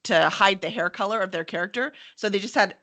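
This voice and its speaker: A woman hosting a casual interview, chatty and informal, with an expressive, enthusiastic way of talking. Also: medium pitch, fast talker. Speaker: interviewer, host.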